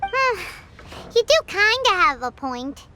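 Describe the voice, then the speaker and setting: A woman playing a tiny, cheeky, excitable girl companion in a video game, with a squeaky, childlike, blunt delivery. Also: takes it slowly, background noise, full character voice. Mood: skeptical.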